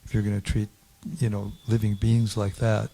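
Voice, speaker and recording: A man's voice: fairly low pitch, completely flat delivery, moderate pace, warm tone, poor audio. A man in a class speaking casually, rambling and trailing off. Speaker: class participant.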